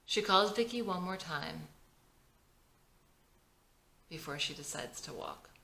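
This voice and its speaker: A woman's voice: on the low side, animated, slow pace, pushed and bright, slight background noise. A woman reading a screenplay aloud as scripted narration, in a roomy, echoing recording.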